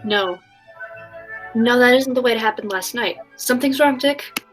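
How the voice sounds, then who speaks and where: A woman playing an eager, excitable young woman in a 1940s-style radio drama. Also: fairly high pitch, normal speed, over music, lightly acted, poor audio. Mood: worried.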